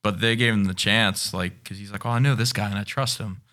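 A man with a somewhat low voice, sharing an anecdote during a casual podcast. He meanders, second-guesses where he is going and fills the pauses with "like.". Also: steady tone, bright, forceful tone. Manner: meandering, uses "like" often. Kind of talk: casual chat, telling an anecdote.

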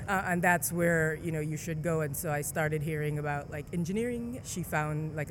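A woman's voice: low-pitched, a little hiss or hum. Caribbean-raised woman telling stories. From Caribbean, mostly Jamaica. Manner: relaxed storytelling with fillers. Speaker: guest, engineer. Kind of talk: conversational interview.

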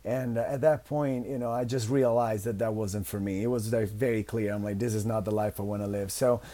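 Mellow Italian-accented man, rambling. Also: on the low side, fairly even intonation, brisk. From Italy. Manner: rambling, full of "you know" and restarts. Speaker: guest. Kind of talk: casual interview chat.

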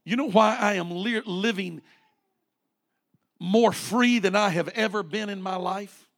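Man, late forties, pastor, very high-pitched, fervent sermon, repeated refrains. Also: a little slow, a bit bright. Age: 40s.

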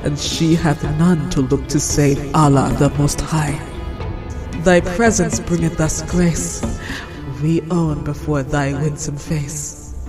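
A performer as a fawning old crone in audio drama. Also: female voice, low voice, steady tone, takes it slowly, over music. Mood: pleading, flattering. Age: older. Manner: ingratiating, florid, archaic speech. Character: fawning, wheedling old crone with flowery flattery. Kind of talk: audio drama acting of an Arabian Nights tale.

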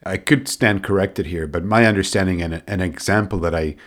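A man's voice: low-pitched, brisk. A man asking questions as an interviewer in a conversational way.

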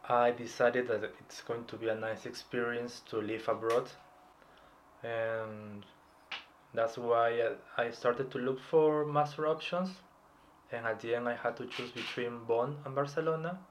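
A man's voice: medium pitch, steady tone, a little slow. Man, 30s, from Peru, interview guest, reflective, hesitant, faint background noise. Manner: reflective, hesitant, with light laughs. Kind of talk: casual personal interview.

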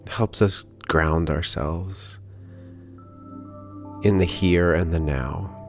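A man leading a guided prayer meditation in a calm, gentle, unhurried manner with pauses. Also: low voice. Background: background music.

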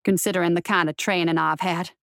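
A woman's voice: on the low side, fairly even intonation, fast talker, creaky, fairly bright tone, no room sound, pitched below the performer's own voice. A woman voicing a glib, tough, unfazed hero in a first-person audiobook, with a dry manner. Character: glib, tough storyteller hero enduring painful magic.

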